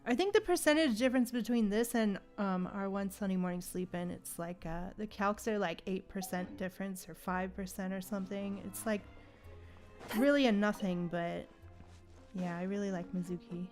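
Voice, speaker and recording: A woman's voice: mid-range voice, normal speed, a little mellow, soft background music. A woman hosting a casual gaming livestream, chatting with viewers and thinking aloud in a playful, conversational way. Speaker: livestream host.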